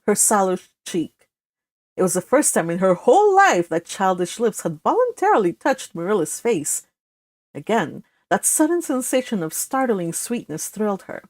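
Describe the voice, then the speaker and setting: A woman's voice: on the high side, no room sound. A woman hosting and narrating a storybook read-along. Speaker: host and narrator.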